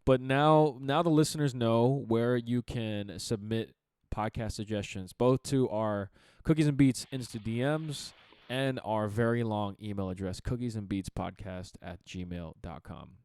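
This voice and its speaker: A young man co-hosting a casual podcast chat, with a deep radio-type voice, animated and quick to laugh. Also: clean tone, no echo, clean studio sound. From Chicago area. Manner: animated, laughs often, talks in bursts with restarts. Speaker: podcast co-host.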